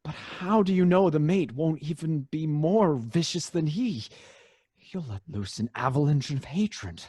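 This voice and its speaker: An anxious, pleading friend in a radio melodrama. The voice is husky and rather high, halting yet emphatic, recorded dry with faint music behind. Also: male voice, animated, warm tone, dry recording. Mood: alarmed, warning. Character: anxious, pleading male friend urging caution. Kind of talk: old time radio melodrama acting.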